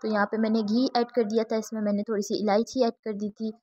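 A woman's voice: a little high, level tone, brisk, dark tone, no room sound, highs cut off. Chatty woman. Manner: easygoing, chatty, mixes English words into Hindi-Urdu. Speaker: cooking channel host. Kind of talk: step-by-step cooking tutorial voice-over.

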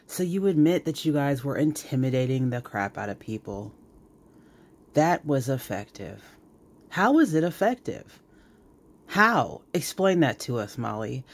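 A man's voice: mid-range voice, fairly bright tone. A man hosting reaction commentary, scolding emphatically and repeating words for effect. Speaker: commentary host.

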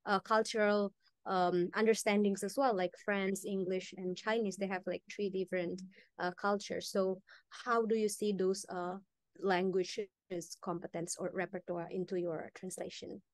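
Female moderator, mid pitch, hesitant with fillers, dry close video-call sound. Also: little pitch movement, dry recording. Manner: hesitant, fills pauses with uh and like. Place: indoors.

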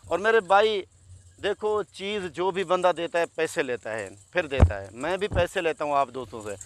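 A man's voice: fairly high pitch, fairly bright tone, smooth. Chatty salesman-like man from Pakistan. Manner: chatty salesman, repeatedly addressing friends. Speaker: goat farm owner and seller. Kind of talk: casual sales walkthrough vlog. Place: open harvested field outdoors.

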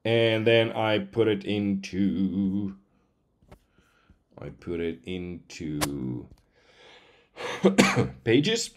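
Male voice-over artist, forties, somewhat low and a little hoarse, chatting informally. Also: expressive, extremely slow, long pauses. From Finland. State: slightly hoarse. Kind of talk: casual demo talk to camera. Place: indoors at home computer, seen wearing headset.

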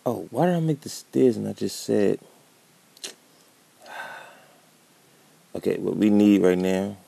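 Man, deep and husky, dark tone, easygoing game commentary with a touch of a cold. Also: animated, slow pace. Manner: laid-back, thinking aloud while building. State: catching a cold. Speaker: gameplay commentator. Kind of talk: casual gameplay commentary.

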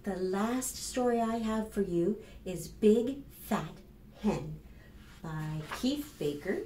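A woman in her fifties with an American accent, reading aloud to preschoolers as a children's librarian in an expressive, instructive manner. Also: mid-range voice, takes it slowly. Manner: expressive, instructive, addressing young children with questions. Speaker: children's librarian and storytime host. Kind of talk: read-aloud and rhymes for preschoolers. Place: small plain indoor room. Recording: roomy echo with clear background noise.

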